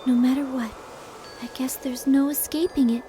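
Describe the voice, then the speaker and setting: A woman voicing a gentle burdened girl. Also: high-pitched, fairly even intonation, moderate pace, slight background noise, close to an everyday voice. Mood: resolved. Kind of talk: video game dub acting.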